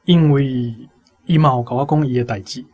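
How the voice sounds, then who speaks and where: A man's voice: mid-range voice, moderate pace, a little mellow, lightly acted. An actor with a Taiwanese accent playing a naive, plain-spoken young male conscript in a radio drama, earnest and colloquial. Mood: sad, subdued. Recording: music behind the voice.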